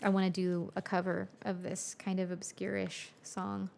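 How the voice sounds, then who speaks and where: A woman's voice: mid-range voice, little pitch movement, moderate pace, creaky, noisy background. A young woman hosting a music livestream from her home studio, chatting casually with viewers and answering their chat, now and then in Spanish.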